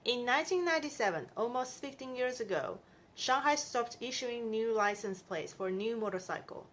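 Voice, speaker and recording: A woman's voice: on the high side, a bit bright. A woman in her thirties from Taiwan, talking chattily through her monthly costs in a vlog. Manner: chatty, upbeat, itemizing costs. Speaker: vlogger. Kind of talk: casual explainer vlog.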